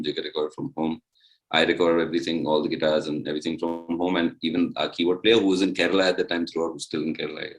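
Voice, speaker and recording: A man's voice: low-pitched, rough recording. A young man, a musician guest answering interview questions in a rambling, casual way with many fillers. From India.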